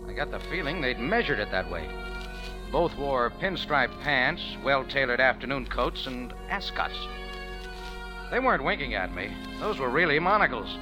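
An actor playing a wry, hard-boiled American bar owner in a 1940s radio noir, deadpan and wisecracking. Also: male voice, medium pitch, normal speed, lightly acted, rough recording. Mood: wry narrating. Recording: old 1940s radio recording with music.

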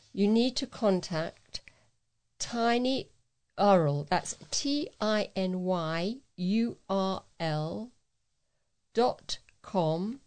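A woman's voice: medium pitch, darkish tone. A woman reading local news aloud as a volunteer newsreader, with a careful delivery.

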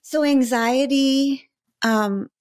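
A woman's voice: high voice, drawn-out words, a little mellow, clear, resonant tone, no room sound, clean studio sound. Supportive woman podcast host. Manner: affirming, supportive, frequent backchannels. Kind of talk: casual interview chat.

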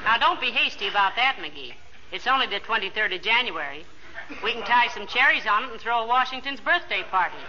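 A woman's voice: fairly high pitch, animated, a little fast, natural voice. A wry, folksy housewife acted by a woman in 1940s radio comedy. She is patient and teasing, heard through a dull, noisy old recording.

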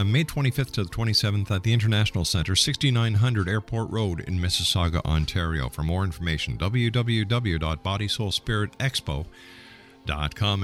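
A man hosting a radio interview show and reading out announcements. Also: lowish voice.

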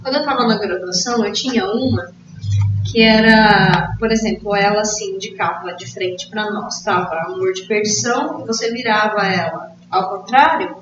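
A woman's voice: mid-range voice, moderate pace. A Brazilian woman speaking as a literature expert in a casual book discussion, explaining things with colloquial asides. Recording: echoey, distant, dull, noisy room recording.

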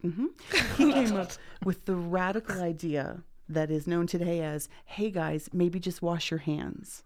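A woman's voice: lowish voice, measured pace, noisy background. A woman hosting a casual podcast, animatedly retelling a history book and acting out quotes, laughing often. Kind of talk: casual chat.

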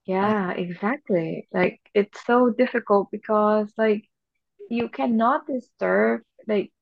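A woman's voice: medium pitch, takes it slowly, creaky, dry recording, lo-fi sound. A woman in her thirties from Venezuela, co-hosting a podcast in casual chat, talking freely with many fillers and restarts. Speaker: podcast co-host.

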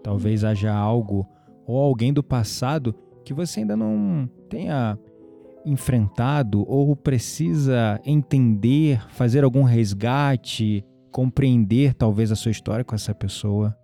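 An actor playing a calm, kindly, mystical shopkeeper in an audio drama, with a Brazilian accent and a soft, unhurried, reflective way of talking. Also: male voice, medium pitch, vocal fry, soft background music. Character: calm, kindly mystical young man, incense shop keeper.

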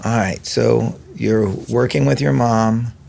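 Gravelly-voiced male interview host. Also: medium pitch, steady tone, slow pace, a little mellow. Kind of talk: conversational podcast interview.

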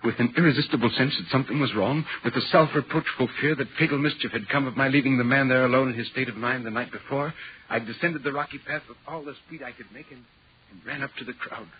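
An actor playing an earnest, concerned gentleman writer in a 1940s radio ghost drama, speaking with measured, deliberate literary phrasing. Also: mid-range voice, a little hiss or hum, lightly acted. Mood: brooding. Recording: muffled, worn 1940s radio recording.

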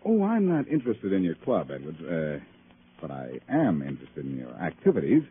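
Cocky radio sleuth, low lively voice, wry banter, 1940s drama style, thin noisy old broadcast. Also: male voice, moderate pace. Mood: cool, accusing. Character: wisecracking, self-assured amateur detective, adult man. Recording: noisy narrow-band old-time radio recording.

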